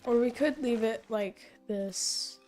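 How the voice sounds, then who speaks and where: A young woman streaming a zoo-building game, thinking aloud and correcting herself as she goes, her voice slightly hoarse and fading. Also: fairly high pitch, takes it slowly, warm tone, faint music behind. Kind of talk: casual gameplay commentary.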